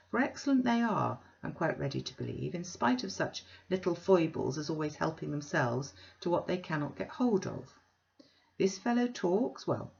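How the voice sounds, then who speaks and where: A woman reading a worldly young gentleman's lines in a languid, ironic drawl. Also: fairly low pitch, creaky, muffled recording.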